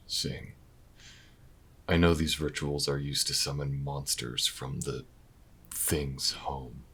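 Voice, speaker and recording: An actor playing a weary, wry, protective investigator in an intimate audio drama, low-key and dry, with hesitant pauses. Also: male voice, steady tone, a bit bright, full character voice. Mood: grave. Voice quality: very deep, gravelly, husky. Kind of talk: audio roleplay acting, intimate and naturalistic.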